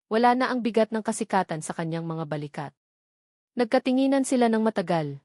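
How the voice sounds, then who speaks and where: A woman's voice: medium pitch, animated, brisk, dark tone, no room sound. Woman narrating a story, very dry recording. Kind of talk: scripted dramatic story narration.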